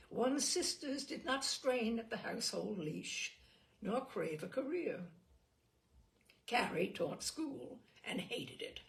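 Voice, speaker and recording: A woman reading a literary short story aloud expressively, with deliberate dramatic emphasis and pauses. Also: medium pitch, creaky. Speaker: storyteller, audiobook reader.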